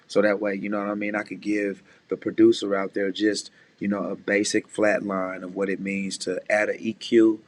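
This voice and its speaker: A man with a deep voice, a music producer casually talking beginners through mixing, often saying "you know what I'm saying". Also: completely flat delivery, moderate pace, hardly any pauses. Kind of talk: casual screen-share tutorial.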